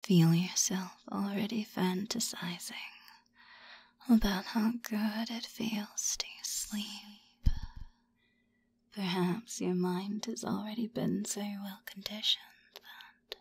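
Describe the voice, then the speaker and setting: Woman, very breathy whisper, unhurried pace, ASMR hypnosis guide, soothing, commanding, suggestive. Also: medium pitch, steady tone, a little mellow.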